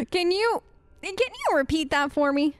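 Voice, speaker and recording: A woman's voice: sits high, sing-song, slow pace. A woman doing casual role-play gaming commentary as a let's play host, with a hesitant, wry manner.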